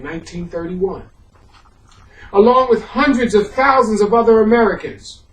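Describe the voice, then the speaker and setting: Man reading history aloud in an echoey room. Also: high voice. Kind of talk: introduction and audiobook-style reading of a history book. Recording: echoey room, far from the microphone.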